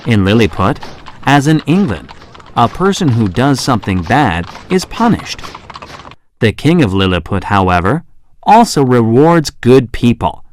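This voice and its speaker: An actor playing a curious, polite traveler who narrates his own children's story with expressive, clear phrasing. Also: mid-range voice, a little slow, a little hiss or hum. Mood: reflective. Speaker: first-person narrator.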